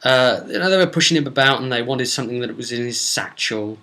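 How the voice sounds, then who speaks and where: A man, a game master, casually recounting a role-playing session in a rambling, animated storytelling way. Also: medium pitch, fast, a little hiss or hum. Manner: rambling, animated storyteller acting out snippets of dialogue.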